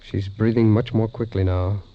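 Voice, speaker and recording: An actor playing an earnest, anxious, deferential doctor's assistant in an old radio drama, speaking haltingly. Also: male voice, fairly low pitch, fairly even intonation, lightly acted. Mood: tense, horrified. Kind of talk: 1940s-50s radio drama acting. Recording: muffled old radio recording.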